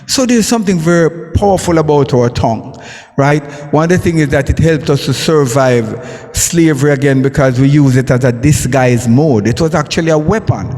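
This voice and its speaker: A man's voice: on the high side, a little mellow. A man with a Jamaican accent performing his poetry at a live event, chanting in rhythm and sometimes breaking into song. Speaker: poet and recording artist. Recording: reverberant live sound with music behind.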